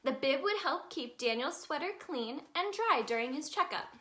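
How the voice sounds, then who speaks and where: High, bright-voiced woman reading to kids. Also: normal speed. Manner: expressive, sing-song, addressing young children. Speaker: storyteller in character as the Tooth Fairy. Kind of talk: animated children's picture-book read-aloud.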